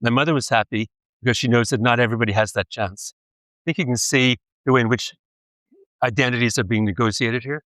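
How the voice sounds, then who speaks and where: An older man lecturing, speaking in a measured way with frequent pauses and hesitations. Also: mid-range voice, fairly bright tone, no echo. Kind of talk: academic lecture.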